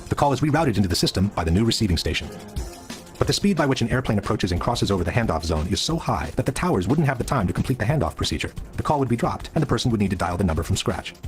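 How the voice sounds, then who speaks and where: Fast-talking male documentary narrator. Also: on the low side. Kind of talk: scripted documentary narration, sped up. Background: background music.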